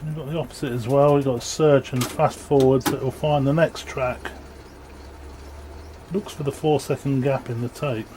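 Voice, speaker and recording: A man giving a casual, unscripted equipment demonstration in a matter-of-fact, halting way. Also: mid-range voice, steady tone. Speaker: presenter demonstrating audio equipment. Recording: clearly noisy amateur room recording.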